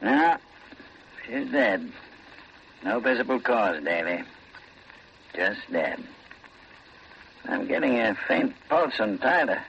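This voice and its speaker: A man's voice: lowish voice, expressive, slow, creaky, long pauses, a bit bright, heavily acted. A man acting a sober, authoritative police chief in a 1940s radio drama, terse and grave. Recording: muffled, faintly noisy vintage radio.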